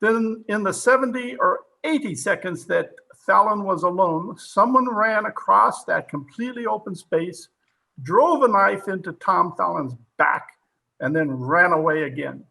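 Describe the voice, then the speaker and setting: An actor playing a hard-nosed, sarcastic police captain in an old-time radio drama, interrogating forcefully and full of disbelief. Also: male voice, high voice, measured pace, creaky, heavily acted, rough recording. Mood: accusing.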